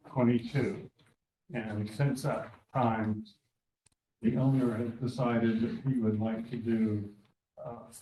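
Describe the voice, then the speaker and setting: A man's voice: mid-range voice, darkish tone. An architect in his eighties with a scratchy voice, presenting plans step by step at a public hearing. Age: 80s. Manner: plain, measured, walks through details step by step. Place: town meeting room. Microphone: handheld microphone. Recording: echoey meeting room.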